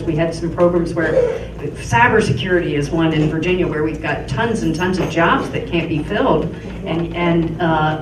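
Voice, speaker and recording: A middle-aged woman giving unscripted campaign remarks at a town hall, stumbling through many fillers and restarts. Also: lowish voice. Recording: echoey room, distant mic, noisy.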